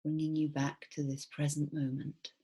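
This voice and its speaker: Female meditation guide, low and breathy, soft and soothing, very unhurried delivery with long pauses. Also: level tone. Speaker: mindfulness teacher. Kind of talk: guided body scan meditation.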